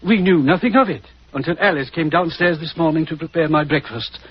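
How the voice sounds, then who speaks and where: An actor playing a fussy, apologetic middle-aged country rector, flustered and formal, in 1940s radio acting style. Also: male voice, a little high, fairly quick, slight background noise, close to an everyday voice. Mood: agitated. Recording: dull, muffled 1940s radio recording.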